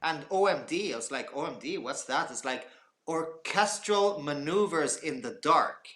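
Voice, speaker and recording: A man in his forties, born in Canada, chatting as a guest over a video call. His voice is bright and slightly high for a man. He tells stories in an animated way, tossing in playful jokes. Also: unhurried, roomy sound. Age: 40s. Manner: animated storyteller, jokey asides. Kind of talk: casual chat.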